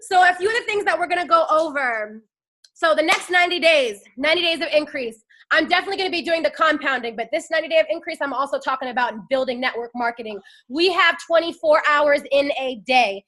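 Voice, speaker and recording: A woman's voice: high-pitched, fast talker, fairly bright tone. A woman in her twenties giving a fiery, preachy motivational pep talk into a phone and rallying her listeners with call-and-response. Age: 20s. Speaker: network marketing team leader.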